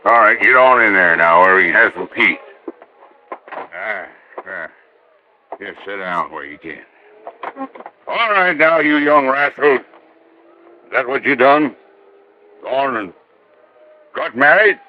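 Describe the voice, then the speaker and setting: A man's voice: mid-range voice, expressive, takes it slowly, pauses often, slight background noise. An actor playing a stubborn, roguish old oil man with a Western American drawl and a folksy, ornery manner in a 1950s radio drama. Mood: gruff, teasing. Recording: dull, lo-fi vintage radio recording.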